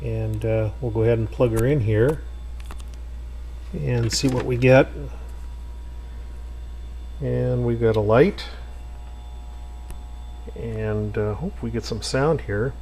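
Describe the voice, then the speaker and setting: A man restoring an old radio, talking casually to himself with lots of pauses and fillers. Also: medium pitch, slight background noise. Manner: relaxed, thinking aloud with many fillers. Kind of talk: casual workshop narration.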